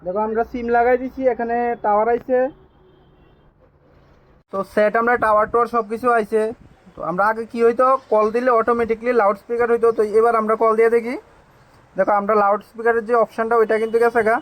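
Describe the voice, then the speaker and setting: A man's voice: very high-pitched, flat delivery, fast, low-quality recording. A man with a Tripura Bengali accent giving an informal phone repair demonstration as a technician, with repetitive, emphatic assurances.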